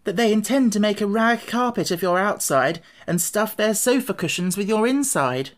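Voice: medium pitch, expressive, normal speed, pitched above the performer's own voice, lightly acted. A storybook reader voicing a plucky young boy adventurer with a frank, matter-of-fact way of talking. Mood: grave warning.

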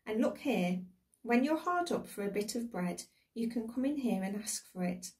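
A woman's voice: on the high side, lively intonation, moderate pace, darkish tone, distant mic, natural voice. A woman voicing a blunt but generous working shopkeeper in a storybook reading. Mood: kindly insistence. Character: kindly plain-spoken working woman, bakery shopkeeper.

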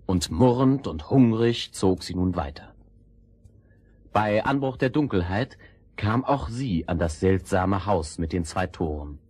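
A man narrating a fairy tale in an expressive storytelling style. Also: deep voice, unhurried, breathy edge. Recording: old audio play with music.

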